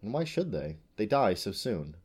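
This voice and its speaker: A man voicing a gentle, lonely female unicorn who speaks softly and wistfully. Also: fairly low pitch, sing-song, moderate pace, husky. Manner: soft, pleading, wistful. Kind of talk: audiobook character voice.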